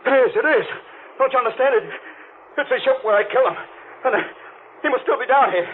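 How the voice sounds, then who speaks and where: Casting: trapped deep-sea diver, high frantic voice, stammering pleas, tinny vintage radio sound. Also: male voice, slight background noise. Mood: panicked. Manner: halting, stammering, pleading. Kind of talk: old-time radio thriller acting.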